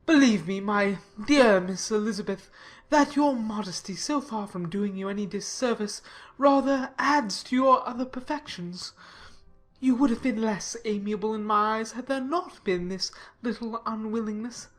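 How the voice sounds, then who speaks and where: A pompous, self-satisfied clergyman played by a woman in a dramatized classic novel, pitched fairly high. The delivery is formal and long-winded, every phrase solemnly laid out. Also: moderate pace, soft background music, close to an everyday voice. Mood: pompous, self-assured. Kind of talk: dramatized audiobook acting.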